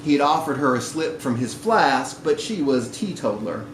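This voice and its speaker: A man's voice: mid-range voice, animated, normal speed. A man reading a short story aloud expressively at a live event, indoors in a bar. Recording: roomy, noisy live bar recording.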